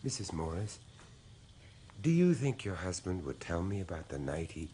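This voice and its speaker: An earnest, courteous gentleman in an old radio drama. The voice is deep and formal, with faint noise on the recording. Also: sing-song, moderate pace. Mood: earnest pleading. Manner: polite, formal, urgent. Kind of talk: 1970s British radio drama acting.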